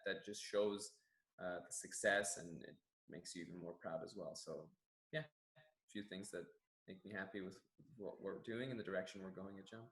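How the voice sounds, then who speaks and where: A man's voice: on the low side, a bit bright, roomy sound, rough recording. A young Canadian man whose first language is Farsi, a gym general manager answering interview questions in an earnest, reflective way with many restarts. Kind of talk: staff profile interview answers.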